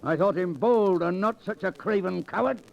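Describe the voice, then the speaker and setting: Creaky, high-voiced imperious villain sheriff. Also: male voice, normal speed, slight background noise. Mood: indignant. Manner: archaic, blustering, commanding speech. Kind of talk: 1940s radio acting. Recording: dull old 1940s radio recording.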